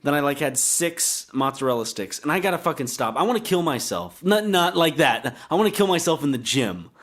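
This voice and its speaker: Playful young man with a lively voice. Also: fairly high pitch, fairly quick. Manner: playful, teasing, crude jokes. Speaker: podcast co-host. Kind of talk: casual comedic chat.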